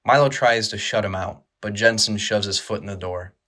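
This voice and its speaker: A man narrates a horror audio drama in a dramatic, measured storytelling style. His voice is on the low side, with a bright, tense edge.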